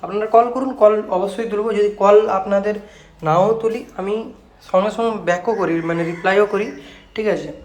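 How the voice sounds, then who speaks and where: A man's voice: high-pitched, fairly even intonation, normal speed. A man with a West Bengal Bengali accent presenting products in a casual vlog, repeating himself like a salesman and mixing in English words. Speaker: speaker repair shop owner and product presenter.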